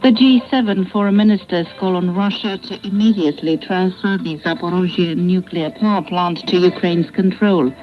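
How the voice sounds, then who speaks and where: A woman radio announcer and newsreader delivering scripted international broadcast copy in a formal, measured way. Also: lowish voice, faint music behind. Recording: dull shortwave radio broadcast recording.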